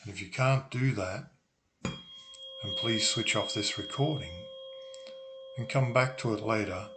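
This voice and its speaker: A man narrating a guided meditation in a soothing, deliberate way with long pauses. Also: on the low side, very noisy. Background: humming tuning forks.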